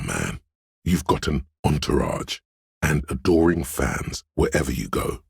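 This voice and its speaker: A man voicing a flamboyant, cocky inner voice of style and hustle in a video game, with a suave, theatrical, deliberate delivery and a gravelly, husky tone. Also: very deep voice, no echo, studio quality, heavily acted. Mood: swaggering. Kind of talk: dramatic scripted game narration in second person.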